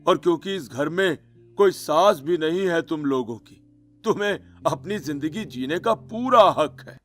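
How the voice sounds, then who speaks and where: Man acting a slow, frail old grandfather. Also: a little high, expressive, faint music behind. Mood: gentle, forgiving. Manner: slow, gentle.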